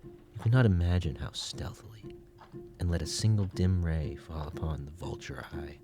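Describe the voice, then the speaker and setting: An actor playing an obsessive madman who confesses to murder in intense, theatrical gothic narration, swinging between hushed and loud delivery. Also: deep voice, moderate pace, music in the background, close to an everyday voice. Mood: tense, secretive. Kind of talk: dramatic first-person gothic narration, audio drama.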